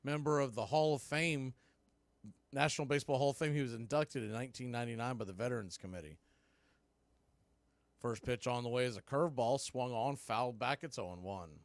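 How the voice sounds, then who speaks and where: A man's voice: medium pitch, a little fast, no room sound. A man calling baseball play-by-play on a streamed radio show, conversational and rising on big plays. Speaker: play-by-play announcer.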